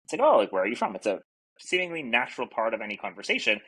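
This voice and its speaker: A man's voice: mid-range voice, big pitch swings, rapid-fire, sharp tone, slightly rough, rough recording. A middle-aged man from Canada, a native French speaker, talking earnestly as a podcast guest with many fillers. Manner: earnest, reflective, lots of fillers. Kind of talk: casual interview talk. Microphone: podcast mic.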